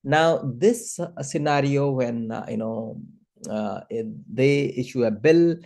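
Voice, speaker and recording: A man teaching a software lesson as an instructor, explaining step by step in a hesitant, halting way with very frequent filler words. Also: medium pitch.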